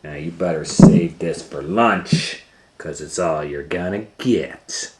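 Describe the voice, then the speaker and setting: A man's voice: deep voice, slow, roomy sound. A man voicing a scheming, nagging, ill-tempered stepmother in a storybook, scolding and bossy.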